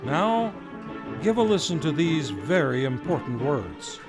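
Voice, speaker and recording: Man narrating a radio drama over loud music. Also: on the high side, sing-song, a little slow. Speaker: announcer, narrator. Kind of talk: old-time radio narration.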